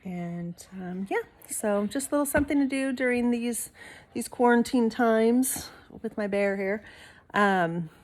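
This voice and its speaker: A woman's voice: fairly high pitch, unhurried. A woman reading a storybook aloud expressively to young listeners, with casual asides like a teacher.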